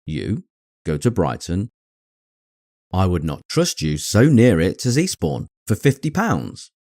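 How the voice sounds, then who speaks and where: Guy doing dry sardonic english dad in a classic novel, low voice, deadpan, very close dry sound. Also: slow pace, no echo, full character voice. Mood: mock-stern. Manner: deadpan, wry, mock-stern. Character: dry, sardonic father, a middle-aged English gentleman. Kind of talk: acted dialogue in audiobook reading.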